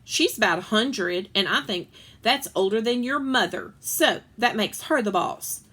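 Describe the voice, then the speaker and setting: A woman's voice: mid-range voice, animated, measured pace, a bit bright, slightly rough. A woman reads as a nine-year-old storybook girl who likes being in charge. She is bossy and sure of herself, handing out rules and instructions.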